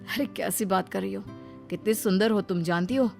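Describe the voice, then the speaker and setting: A woman's voice: medium pitch, moderate pace, vocal fry, natural voice. A wealthy young suitor in a cartoon family story, acted by a woman. He is confident, smitten and earnest, speaking in a straightforward courting manner. There is music behind the voice. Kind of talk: Hindi moral-story cartoon dialogue.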